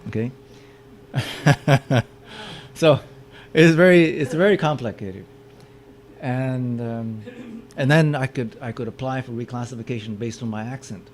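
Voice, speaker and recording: A man speaking to a room at an ordinary middle pitch, talking through slides in a halting way. He often repeats a word or two before going on. Faint background noise sits under the recording. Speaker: lecturer. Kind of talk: public lecture with slides. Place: meeting room with audience.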